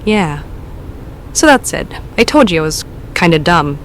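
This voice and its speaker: Casting: actress as hesitant uneasy caller, lowish voice, faint noise behind. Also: female voice, very animated. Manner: hesitant, halting, self-deprecating. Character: nervous, self-doubting woman caller.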